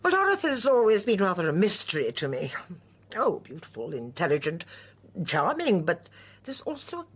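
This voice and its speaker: Genteel old lady for radio drama: husky, low-ish, very lively intonation, shrewd and probing. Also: normal speed, exaggerated voice. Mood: thoughtful. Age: older. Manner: genteel, well-mannered, probing.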